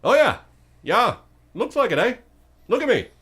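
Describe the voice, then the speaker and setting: A man's voice: very high voice, big pitch swings, normal speed, fairly bright tone, husky, voice pushed up, exaggerated voice. A man voicing a giant undead skull oracle in a tabletop game, chipper, chatty and casual. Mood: cheerful, casual. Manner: cheery, offhand, hesitant chattiness.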